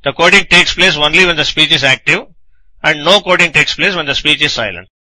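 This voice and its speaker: A man's voice: fairly high pitch, pushed and bright, dry recording, poor audio. A man narrating a scripted technical lecture in a didactic manner, posing questions and then answering them.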